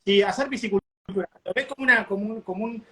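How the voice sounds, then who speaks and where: A man's voice: high voice, fast, a crowd in the background. A young man from Argentina, a guest in a live interview who speaks hesitantly with many fillers. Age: young adult. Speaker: guest, fishing association member. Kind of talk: live interview, casual chat. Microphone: video call.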